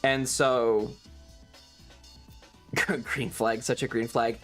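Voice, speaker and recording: A man streamer telling a casual, rambling story in a halting, wry way with some swearing. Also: medium pitch, little pitch movement, soft background music. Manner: frequent throat clearing.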